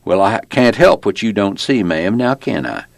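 A man's voice: fairly low pitch, lively intonation, dry recording. Audiobook role: male narrator as a pushy backwoods lawman, awkward and folksy, slurring and tripping over his words, recorded dry. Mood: belligerent. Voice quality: slurred, far from crisp diction. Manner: folksy, halting, stuttering. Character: belligerent, awkward rural Virginia male cop.

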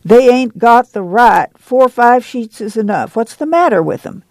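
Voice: mid-range voice, expressive, normal speed, dry recording, voice pushed up, lightly acted. A woman voicing a stern, bossy country committee chairwoman who scolds in commanding, folksy rural dialect in a story reading.

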